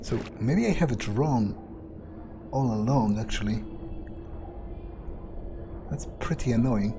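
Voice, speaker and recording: Male game player in character as a grumbling vampire, mid-pitched, very unhurried hesitant muttering, in-character thinking aloud. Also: expressive, background noise. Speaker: let's player.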